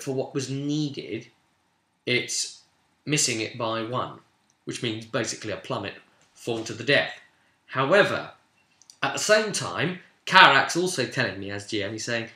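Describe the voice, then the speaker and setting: A British man casually recounting a tabletop game as its game master, in an animated storytelling way. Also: medium pitch. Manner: animated, acts out players' remarks in quotes. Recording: roomy, echoing room sound.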